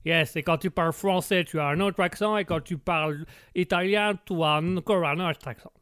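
A man's voice: fairly high pitch, fairly bright tone, no room sound, studio quality, close to an everyday voice. A man voicing a brash, arrogant American man who talks with a put-on American accent and drops English words into his speech. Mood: mocking. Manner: swaggering, slow drawl, drops English words.

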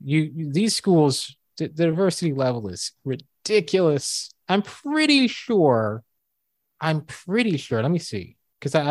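A man with a fairly high voice, co-hosting a casual talk show and thinking aloud with frequent restarts and fillers. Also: no echo. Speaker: co-host and former college professor. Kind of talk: casual podcast debate.

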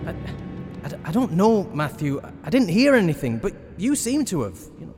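An actor playing a steadfast, hearty army captain in a radio horror drama, a reassuring and teasing friend. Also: male voice, high-pitched, big pitch swings, a little fast, a little mellow, soft background music. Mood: urgent, alarmed.

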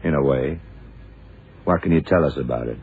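A middle-aged federal investigator in an old radio drama, with an extremely low, gruff voice. He questions dryly and deliberately, always probing. The recording is thin and narrow, with faint noise. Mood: probing, suspicious. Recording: muffled, noisy 1960s radio drama recording.

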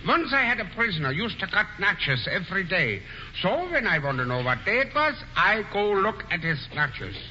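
A man's voice: high-pitched, very animated, moderate pace, pushed and bright, a little hiss or hum, full character voice. An actor playing a simple, rambling male jailer in broken English, on an echoey old radio broadcast. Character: simple-minded male Dutch jailer, chatty. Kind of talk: 1940s radio acting. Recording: dull old radio broadcast with long reverb.

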